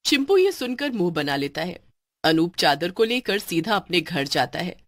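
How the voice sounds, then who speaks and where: A woman narrating a cartoon story in a lively storytelling manner. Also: on the low side, normal speed, no room sound, clean studio sound.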